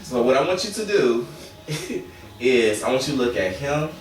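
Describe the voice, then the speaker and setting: A man's voice: mid-range voice, sing-song, normal speed. A man in his forties casually hosting a group discussion, picked up by a distant camera microphone. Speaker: show host. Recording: very echoey room, distant mic, faint music.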